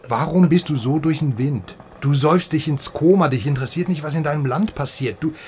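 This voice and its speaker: An actor playing an excitable, chatty West Berlin journalist in a radio drama, halting and exclaiming as he talks to a friend. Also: a little high, a little hiss or hum, natural voice. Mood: worried, reproachful. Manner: halting, animated, swinging from murmur to exclamation. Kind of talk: naturalistic radio drama dialogue.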